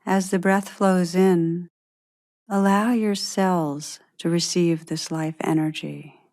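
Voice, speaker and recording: A woman leading a guided meditation in a gentle, unhurried manner, leaving long pauses between phrases. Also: on the low side, steady tone.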